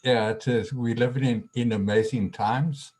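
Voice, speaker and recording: A man speaking as a guest in a casual interview, halting with many ums and restarts. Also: mid-range voice, fairly even intonation, a little mellow.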